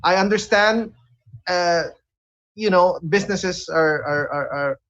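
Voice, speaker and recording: A man hosting a conversational radio interview, speaking haltingly and repeating words as he looks for phrasing. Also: sits high, a bit bright. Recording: dull, lo-fi audio.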